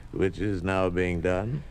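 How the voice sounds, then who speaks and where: A smug, low-voiced communist official. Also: male voice, a little mellow, slight background noise, exaggerated voice. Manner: condescending, sardonic, coolly threatening. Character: smug, menacing communist official taunting a rival. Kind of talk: 1950s radio drama acting.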